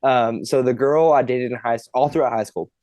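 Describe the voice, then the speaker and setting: A man's voice: mid-range voice, fairly even intonation, brisk, mellow, no room sound. A man in his twenties, a podcast guest, telling a long funny story in casual chat, laughing and swearing as he goes.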